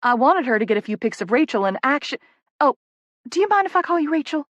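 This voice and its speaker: A narrator plays an excitable little Southern woman in publicity, from an audiobook novel. Her voice sits high and swings upward with emphatic, breathless gushing. She is bubbly and effusive, with a Southern drawl, recorded close and dry. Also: quick pace, no room sound, muffled recording. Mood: flustered.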